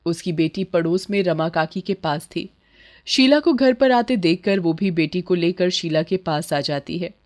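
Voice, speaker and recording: A woman's voice: on the low side, measured pace, a little mellow. A woman narrating a scripted moral bedtime story as a storyteller.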